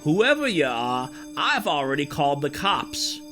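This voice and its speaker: An actor playing a talkative, world-weary security guard in an audio drama, with a folksy, plain-spoken manner. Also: male voice, fairly high pitch, very animated, unhurried, sharp tone, soft background music, heavily acted. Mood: stern, commanding. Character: world-weary, talkative male night-shift department store security guard.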